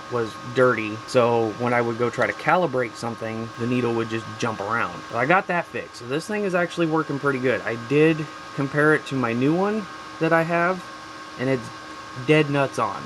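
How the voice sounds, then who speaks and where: Regular guy doing a casual hobby vlog, folksy slang, average pitch, bit of noise behind him. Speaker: hobbyist video host. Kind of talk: casual show-and-tell monologue.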